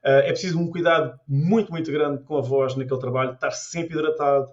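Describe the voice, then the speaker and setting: A man's voice: on the high side, quick pace, lo-fi sound. A man with a European Portuguese accent, a tour guide chatting as a podcast guest, telling stories with lots of restarts. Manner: animated storyteller with many restarts. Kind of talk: casual interview chat.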